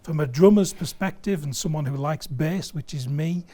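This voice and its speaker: Higher-pitched, mellow man explaining a product. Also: moderate pace. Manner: explains with everyday analogies, emphatic repetition. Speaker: loudspeaker company sales director, guest. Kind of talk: enthusiastic product explanation in conversation. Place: video studio.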